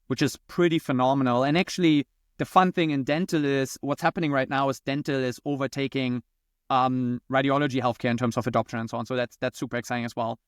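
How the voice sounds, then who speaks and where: A man's voice: medium pitch, steady tone, fast, smooth, no room sound, clean studio sound. A man from Germany with a German accent, answering conversationally as a founder interviewed on a podcast. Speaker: podcast guest, company founder and CEO.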